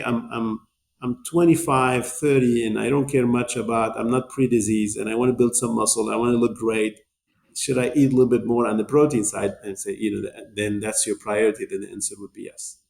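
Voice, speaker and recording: A man's voice: medium pitch, gravelly, a little mellow. A man, talking as a podcast guest and explaining things in a rambling way, often repeating words as he restarts phrases. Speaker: podcast guest, nutrition company executive. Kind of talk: conversational interview explanation.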